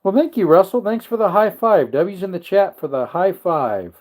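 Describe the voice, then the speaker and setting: A man's voice: high voice, expressive, normal speed, a little mellow. A man hosting a casual livestream, rambling and joking while greeting viewers in the chat. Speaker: livestream host.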